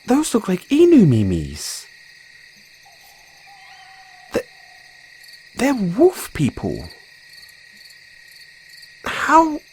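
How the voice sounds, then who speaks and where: A man playing a tender, excitable mad scientist boyfriend in an audio roleplay, speaking in a halting, geeky manner. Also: sits high, a little hiss or hum, heavily acted. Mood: astonished, incredulous. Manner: halting, geeky, swinging between gentle and eager. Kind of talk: scripted audio roleplay to listener.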